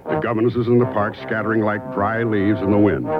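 A man's voice: fairly low pitch, flat delivery, a little fast, close to an everyday voice. A man acting a laconic, matter-of-fact private investigator telling his case in an old-time radio drama. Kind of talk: scripted first-person narration, old-time radio drama. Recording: muffled vintage radio, loud music behind.

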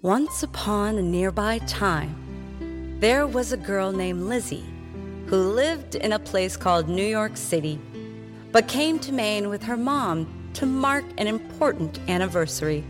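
A woman's voice: medium pitch, expressive, unhurried, close to an everyday voice. Woman as a storybook narrator over music. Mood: measured. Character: storybook narrator, measured fairy-tale teller. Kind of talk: scripted fairy-tale narration.

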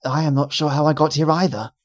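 A man reading as a calm, reassuring archaeologist. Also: on the high side, fast, close to an everyday voice.

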